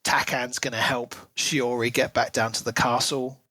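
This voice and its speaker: Male book podcast co-host, medium pitch, conversational, storyteller's touch, very dry recording. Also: little pitch movement, normal speed, pushed and bright, no echo. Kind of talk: casual book discussion.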